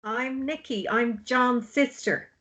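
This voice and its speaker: A woman's voice: on the high side, steady tone, slow pace, a bit bright, slight background noise. A woman playing a blunt, worried, exasperated sister of a grieving drunk in a drama table read. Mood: hesitant, worried. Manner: blunt, pleading.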